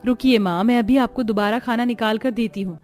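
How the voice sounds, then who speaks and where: A woman's voice: on the high side, fairly quick, darkish tone, natural voice. A woman voicing a poor, dutiful young village daughter in a Hindi cartoon story, earnest and plaintive. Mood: dutiful, apologetic.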